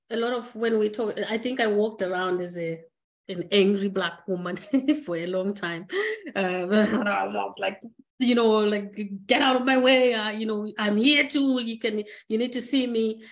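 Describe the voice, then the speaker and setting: A middle-aged woman from Zimbabwe speaking on a webinar call, reflective and earnest, pausing on fillers and restarting her sentences. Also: mid-range voice, steady tone. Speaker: guest speaker. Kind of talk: candid personal conversation. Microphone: webinar video call.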